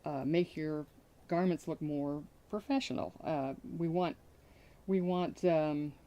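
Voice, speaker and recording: A woman in her sixties with a notably low voice chats cheerfully about sewing, laughing easily and filling gaps with uh and um. She explains her techniques in a relaxed, conversational way. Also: slow. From Connecticut, USA. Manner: cheerful, laughs often, fills with uh and um. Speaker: guest sewing expert. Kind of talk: casual chat and sewing demonstration.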